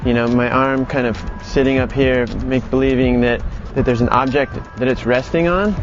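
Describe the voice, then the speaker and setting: Male instructor over clear background music. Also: mid-range voice, steady tone, moderate pace, dull audio. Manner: conversational, explanatory. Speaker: animation acting instructor. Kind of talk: explanatory online lesson.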